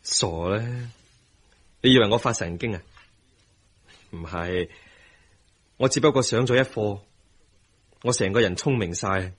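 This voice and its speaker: An actor with a Hong Kong Cantonese accent playing a tender, remorseful young husband in a radio drama, earnest and emphatic. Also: male voice, on the low side, a little slow, long pauses, breathy edge. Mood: tender, eager. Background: music.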